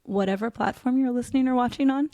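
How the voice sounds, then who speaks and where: A woman's voice: a little high, quick pace, warm tone, dry recording, clean studio sound. A woman co-hosting a paranormal podcast, bubbly and enthusiastic, her voice scratchy from allergies. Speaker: podcast co-host. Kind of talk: casual podcast chat.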